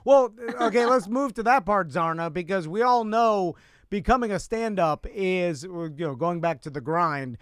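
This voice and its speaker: A man's voice: sits high, moderate pace, clean tone, no room sound. A man co-hosting a casual talk show, laughing easily and asking loose, rambling questions. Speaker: co-host. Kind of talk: casual interview chat.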